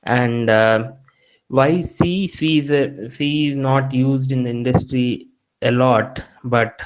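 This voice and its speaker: A man's voice: medium pitch, fairly even intonation, slow pace, background noise. A man, a Telugu speaker, giving conversational career advice to engineering students and often restarting his sentences. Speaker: guest speaker.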